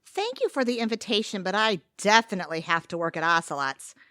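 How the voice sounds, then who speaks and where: A woman's voice: mid-range voice, big pitch swings, a little fast, pushed and bright, dry recording, clean studio sound. A woman playing a blunt, weary restaurant waitress in a comedic audio play. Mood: weary, complaining. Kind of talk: comedic audio theatre acting.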